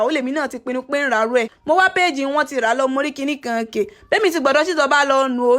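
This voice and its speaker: Rapid high-pitched Yoruba-accented woman. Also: a bit bright. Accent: Nigerian Yoruba. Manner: rapid, rhythmic comic narration. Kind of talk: fast comic storytelling voiceover.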